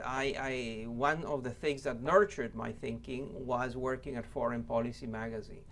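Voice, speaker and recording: A middle-aged man speaks as an interview guest with a gravelly, fry-tinged voice. He talks thoughtfully, restarting sentences and running through long lists. A faint hiss sits under the recording. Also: medium pitch, a bit bright. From Libya, raised in Venezuela. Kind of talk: conversational interview answers.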